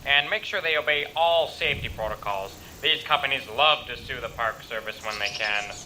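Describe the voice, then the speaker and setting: A man playing a stern, cautious park service boss in an audio drama, official in manner with halting hesitations. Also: mid-range voice, bright, forceful tone, a little hiss or hum, poor audio.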